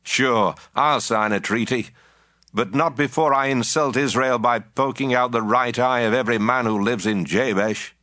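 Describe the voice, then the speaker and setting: Cruel conqueror king, acted by a man, taunting and forceful, close dry recording, Bible radio play. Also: mid-range voice, normal speed, no echo, natural voice. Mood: mocking menace. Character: cruel, mocking invading enemy king. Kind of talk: audio Bible drama acting.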